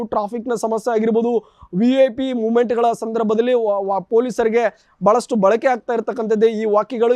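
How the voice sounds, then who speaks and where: A man giving an unscripted TV news report in a halting, repetitive way with formal phrasing. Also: extremely high pitch, a little mellow, dry recording.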